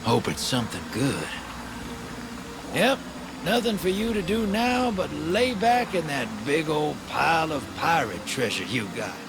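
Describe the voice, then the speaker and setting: A man playing a wry, streetwise alien crime boss with a soft heart in a video game, talking in a casual wiseguy drawl. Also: on the high side, huge pitch swings, unhurried, exaggerated voice. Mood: approving, relaxed. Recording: clear background noise.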